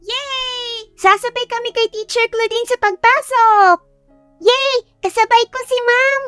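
An eager little schoolchild for a romance audiobook. The voice is very high, shrill and thin, chirping with excitement. The sound is dry, with music behind. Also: female voice, a little slow, no room sound, heavily acted. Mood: delighted.

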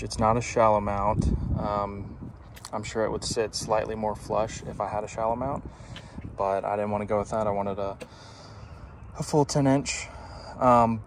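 A man talks through a DIY job in an easygoing, informal way. His voice sits fairly low and often drops into a gravelly creak. It is captured on a handheld phone inside a vehicle, with clearly audible noise around it. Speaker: DIY video presenter. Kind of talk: casual hands-on walkthrough. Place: inside a parked pickup truck. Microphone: handheld phone camera.

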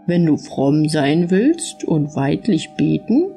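A woman voicing a calm, kindly, all-knowing Lord in a fairy-tale audiobook, measured and patient. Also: low-pitched, creaky, soft background music, exaggerated voice.

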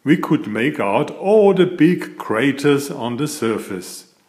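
A man narrates a storybook for small children. His mid-pitched voice moves slowly, with lively rises and falls. He tells it deliberately and expressively, as an author sharing his own tale. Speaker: author reading his picture book aloud.

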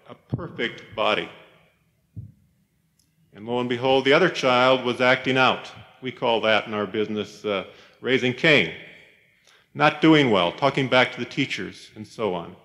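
A man's voice: mid-range voice, fairly bright tone. Man giving a chapel talk in reverberant hall. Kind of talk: reflective sermon-like chapel talk. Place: large chapel, speaking to a congregation. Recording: reverberant chapel hall, 1990s cassette tape.

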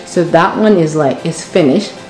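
A chatty woman walks through her home showing it off on her phone, gushing and repeating words for emphasis. Her voice sits low for a woman, and it rings off the hard tiled rooms. Clear music plays under her the whole time. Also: normal speed, darkish tone, reverberant, low-quality recording. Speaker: home vlogger giving a house tour. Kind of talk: casual house tour chat. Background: background music.

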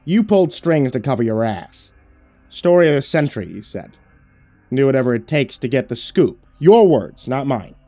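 A man's voice: mid-range voice, normal speed, few pauses, dry recording, close to an everyday voice. A man voicing a bitter, resentful male reporter who talks in a sullen, snapping way. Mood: angry.

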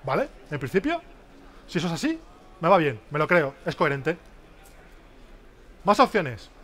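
A man's voice: a little high, moderate pace. A man in his thirties giving an interactive business workshop on a stage in a large exhibition hall, speaking into a headset mic and often quizzing the audience. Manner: lively, questioning the audience, many tag questions.

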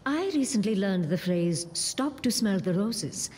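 A woman's voice: medium pitch, expressive, unhurried, darkish tone, husky. A precise, courteous scientist from a video game. She speaks earnestly with a lilting range in a reverberant space. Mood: admiring, thoughtful. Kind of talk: video game character dialogue. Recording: reverberant hall, faint game ambience.

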